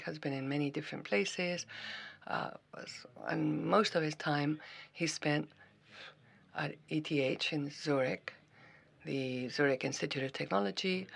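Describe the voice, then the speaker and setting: A man's voice: fairly high pitch, gravelly, fairly bright tone. A man moderating a scientific symposium, introducing speakers in a chatty way with wry asides. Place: lecture hall.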